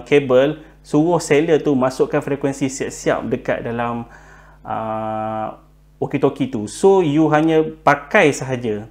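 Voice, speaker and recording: Malaysian man giving halting, informal tips. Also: mid-range voice, darkish tone. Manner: halting, frequent filler words and repetition; code-switches with English words. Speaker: presenter giving buying tips. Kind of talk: informal explainer, unscripted talk.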